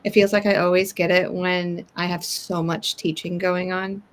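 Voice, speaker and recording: A woman who teaches, chatting calmly and reassuringly in a measured way. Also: lowish voice, slight background noise. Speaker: teacher and co-host. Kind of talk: casual chat.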